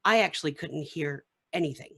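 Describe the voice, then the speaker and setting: A woman's voice: sits low, brisk, vocal fry, bright, forceful tone, slightly rough. A woman talking casually as a member of a radio play crew in a panel discussion over a video call. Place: remote group video call.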